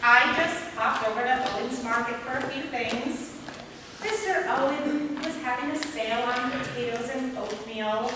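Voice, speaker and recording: A woman's voice: a little high, measured pace, natural voice. A woman playing a chirpy, fussy, easily defensive librarian aunt in an amateur stage play. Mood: cheerful. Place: community theater hall stage. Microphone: distant camera or room mic. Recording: echoey hall, distant mic, faint noise.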